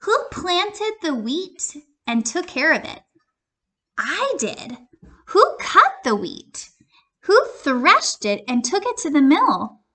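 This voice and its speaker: A woman voicing an industrious little red hen from a storybook, firm and indignant, with emphatic, drawn-out words. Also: sits high, very animated, fairly bright tone, pitched above the performer's own voice, full character voice. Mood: indignant, proud.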